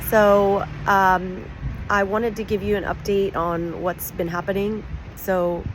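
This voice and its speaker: A woman from the United States, hoarse and raspy from bronchitis, chatting casually and digressively to camera outdoors on a street. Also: medium pitch, flat delivery, takes it slowly. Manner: chatty, digressive, thinking aloud. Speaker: expat vlogger. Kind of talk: casual vlog talk to camera. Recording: outdoor street noise behind.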